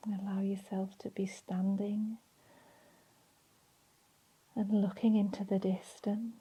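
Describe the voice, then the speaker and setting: A woman leading a guided relaxation in a soft, breathy, soothing voice, leaving long pauses between phrases. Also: medium pitch, flat delivery, low-quality recording. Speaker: meditation and relaxation instructor.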